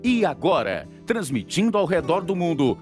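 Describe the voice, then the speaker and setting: A man's voice: fairly high pitch, very animated, moderate pace, a little mellow. A man with a Brazilian accent reading scripted dramatic narration as announcer of a radio drama series. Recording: acoustic guitar music behind the voice.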